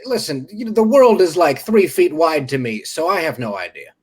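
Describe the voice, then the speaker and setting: Guy doing a confused civil war doc, stammery and blustery, high voice jumping all over. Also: very animated, moderate pace, husky. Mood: rambling. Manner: flustered, stammering, old-fashioned bluster. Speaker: guest. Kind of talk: improvised comedy character.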